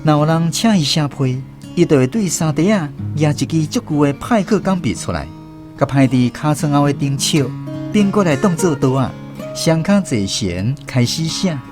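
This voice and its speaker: A man's voice: a little high, animated, moderate pace, music in the background. A man with a Taiwanese accent narrating a scripted radio drama. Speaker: narrator and host.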